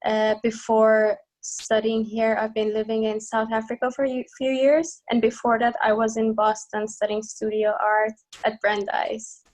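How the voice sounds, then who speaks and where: A woman's voice: a little high, completely flat delivery, a little slow, hardly any pauses, darkish tone, rough recording. A woman from Iran with an Iranian accent, explaining her work as an interview guest in hesitant, thoughtful phrasing.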